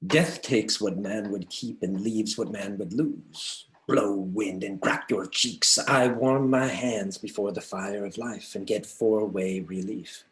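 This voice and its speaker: A man voices a flighty butterfly who rambles in scraps of verse. His low voice has a dreamy, theatrical lilt, as in a play read aloud at a table. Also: slow pace, few pauses, rough recording. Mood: whimsical. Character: whimsical male butterfly spouting songs and poetry.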